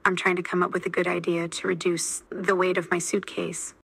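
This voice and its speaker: Woman, AI voice, gravelly and monotone, dry close sound, lesson sentence reading. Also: fairly low pitch, fairly quick, no room sound. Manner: steady, plain repetition of model sentences. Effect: AI text-to-speech voice. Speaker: language lesson reader. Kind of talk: scripted sentence drills.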